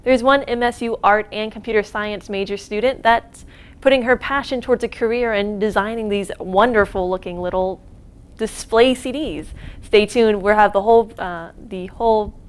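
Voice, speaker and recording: A woman's voice: mid-range voice, normal speed, smooth. A woman hosting a student TV show, reading upbeat scripted links and asking questions. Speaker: student TV host.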